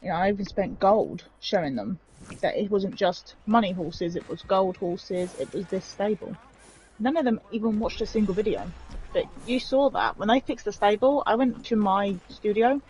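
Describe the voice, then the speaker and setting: A woman's voice: medium pitch, normal speed, a little mellow, slight background noise, rough recording. A woman joining a stream from off the main mic, talking in an aggrieved way and going over every detail of her complaint. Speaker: stream guest. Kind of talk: casual conversation.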